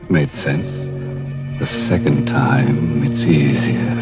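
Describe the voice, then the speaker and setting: An actor playing a knowing, sinister narrator, measured and dramatic, in 1950s radio horror style. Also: male voice, rumbling low, steady tone. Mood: ominous, knowing. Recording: muffled vintage radio, music behind.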